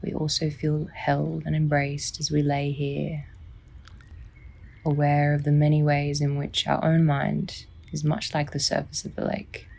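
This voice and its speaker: A woman softly and soothingly narrating a guided sleep meditation, unhurried, with long pauses and a breathy, near-whispering delivery. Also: low voice, monotone. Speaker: psychologist guiding a sleep meditation.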